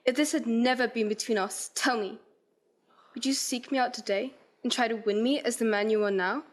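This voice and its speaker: Woman doing a heartbroken young fiancee, high voice, formal old-fashioned talk, radio drama, resolute. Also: steady tone, moderate pace, natural voice. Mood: sorrowful, firm. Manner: earnest, formal period phrasing. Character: Belle, heartbroken, resolute young fiancée releasing her betrothed. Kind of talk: radio play acting.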